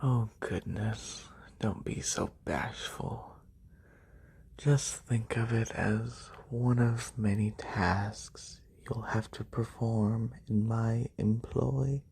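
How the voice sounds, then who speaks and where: A performer voicing a haughty, wealthy lamia noble in a breathy, soft-spoken ASMR roleplay, condescending and unhurried, close on a binaural mic. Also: medium pitch, steady tone, full character voice. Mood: languid, commanding. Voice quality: breathy, whispery.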